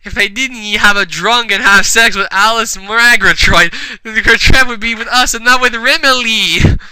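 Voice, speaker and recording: A man's voice: sits high, moderate pace, sharp tone, smooth, no echo, voice pushed up, poor audio. A man voicing an emotional, remorseful young witch heroine in a comic fanfiction reading, in a melodramatic, exclamatory way. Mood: tearful guilt.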